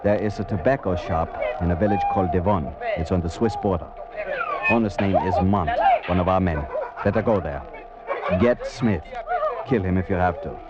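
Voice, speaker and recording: Actor as a low, terse French spy contact. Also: male voice, moderate pace, lightly acted. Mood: terse, secretive. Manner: terse, clipped phrases. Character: French male intelligence contact, terse and secretive. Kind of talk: 1940s radio drama acting. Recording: muffled old radio recording, loud music.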